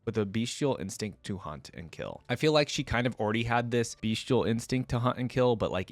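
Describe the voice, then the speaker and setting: A man hosts a reaction video in a slightly low voice. He reads quickly and drops in casual asides, recorded very dry without room sound. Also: no echo. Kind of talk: reading lore aloud with casual commentary.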